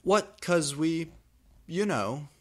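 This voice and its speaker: A man's voice: on the high side, lively intonation, drawn-out words, a bit bright, pitched above the performer's own voice, exaggerated voice. A man voicing an uncomfortable, earnest teenage goth boyfriend who pleads emphatically in a story reading. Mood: awkward.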